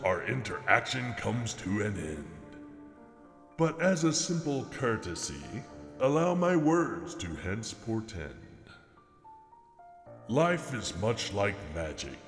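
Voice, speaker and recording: A man voicing a sly, gloating demon in a horror poem, pompous and mock-courteous, with theatrical drawn-out emphasis. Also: medium pitch. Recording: echoey reverberant hall effect, faint music.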